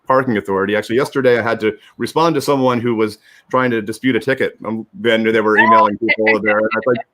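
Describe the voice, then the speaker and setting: A man's voice: on the low side, steady tone, fast, bright, forceful tone. A man co-hosting an online live stream, explaining practical details in an informal, unscripted way. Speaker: livestream co-host and producer.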